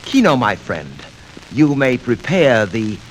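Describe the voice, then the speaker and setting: A menacing, swooping gang boss. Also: male voice, medium pitch, sing-song. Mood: sinister, silky. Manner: ornate, mock-polite, deliberate phrasing. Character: menacing, suavely sinister male gang boss, commanding. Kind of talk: 1940s radio serial acting. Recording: noisy crackly old radio record transfer.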